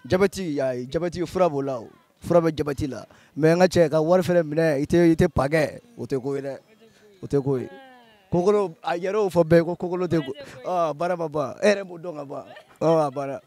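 A young man with a Malian accent, answering a street interviewer outdoors in an animated, insistent way. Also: fairly high pitch, brisk. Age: young adult. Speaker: passer-by. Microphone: handheld held by interviewer.

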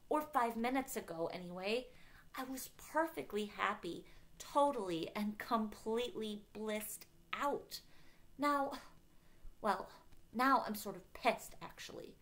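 Narrator doing a stubborn young woman in love, audiobook style, exasperated, emphatic, bit of fry in the voice. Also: a little high, a bit bright, pitched above the performer's own voice, exaggerated voice.

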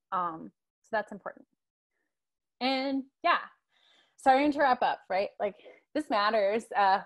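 A young woman from Tennessee delivers a lecture over a video call, speaking through earbuds in a room at home. Her voice sits at a middling pitch for a woman. She peppers her points with ums and checks in with "right?". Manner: frequent ums and tag questions like "right?". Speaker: guest lecturer. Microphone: earbud headset on a video call.

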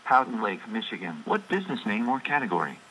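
A man's voice: fairly low pitch, big pitch swings, fast, a bit bright. A man's automated directory-assistance voice giving prompts over a telephone line. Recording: slightly noisy phone line through speaker.

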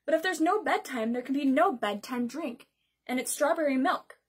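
A woman's voice: high voice, lively intonation, normal speed. A woman voicing a patient, slightly exasperated big brother from a storybook, coaxing and questioning.

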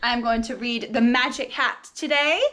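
A young woman reading a children's picture book aloud in an expressive, sing-song, drawn-out preschool teacher's manner, recorded at home on a camera mic. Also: on the high side. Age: young adult.

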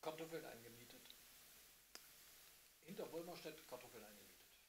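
A man's voice: medium pitch, expressive, moderate pace, slightly rough, low-quality recording. A man in his sixties, a guitarist from eastern Germany, giving brief casual answers on stage, laconic and away from the microphone. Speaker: guitarist in a duo. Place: small theatre or cinema hall with red seats.

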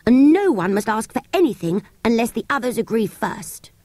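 A woman's voice: fairly high pitch, sing-song, normal speed, dark tone, dry recording, voice pushed up. A woman voicing a plucky, earnest young schoolboy in a children's storybook. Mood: decisive.

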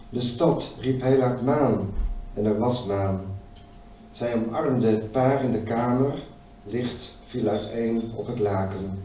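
A man reciting a poem at a stand microphone on a small stage, calm and measured with a steady rhythm. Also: medium pitch, slight background noise. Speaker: poet. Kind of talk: poetry reading. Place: small indoor stage with curtains. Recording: roomy distant live stage recording.

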